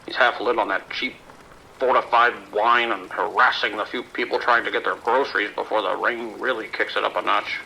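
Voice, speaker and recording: A man playing a gruff, exasperated local on a call in an audio drama, blunt and grumbling. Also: on the low side, steady tone, normal speed, pushed and bright, slight background noise, full character voice, poor audio. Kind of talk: audio drama phone dialogue.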